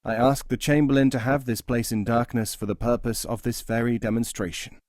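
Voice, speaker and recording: A man's voice: mid-range voice, steady tone, fairly quick, a little mellow, dry recording, clean studio sound, lightly acted. A man voicing a clever thief in a teaching tale, formally explaining himself to a king. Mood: deferential, reasoning. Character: deferential male thief, clever, addressing his king. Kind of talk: storybook teaching-tale character voice.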